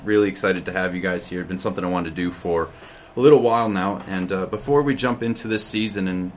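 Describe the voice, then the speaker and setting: A man's voice: sits low, slight background noise. A man hosting a college sports podcast, asking prepared questions in a friendly way. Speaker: podcast host. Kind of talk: sports interview.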